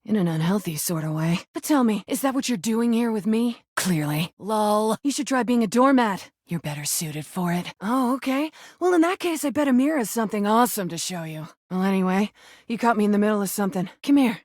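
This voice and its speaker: A woman plays a living rubber duck from a video game, crude and flirtatious. The delivery is brash, mocking and full of sarcasm, at a middling pitch. The sound is close and dry, with no room on it. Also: lively intonation, a little fast, a little mellow, no echo, close to an everyday voice. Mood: sarcastic, taunting. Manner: sarcastic, brazen, taunting. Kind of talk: video game character voice lines.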